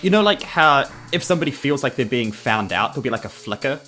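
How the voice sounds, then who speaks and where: A man's voice: medium pitch, animated, fast, bright, forceful tone, faint music behind. A man chatting casually as a player in a tabletop game.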